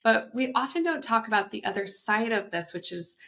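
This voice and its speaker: A woman's voice: fairly high pitch, lively intonation, brisk, gravelly, fairly bright tone. A woman hosting a podcast, reading an upbeat scripted intro and then asking her guest questions in an eager, conversational way. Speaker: podcast host.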